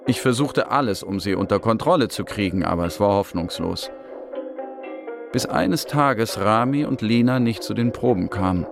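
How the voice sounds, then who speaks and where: A man's voice: on the low side, expressive, moderate pace, creaky. A man playing an earnest Syrian puppeteer who narrates his refugee-camp story in a scripted radio drama. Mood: wistful, narrating. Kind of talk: scripted radio-drama narration, German voice-over of an Arabic speaker. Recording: music behind the voice. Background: music.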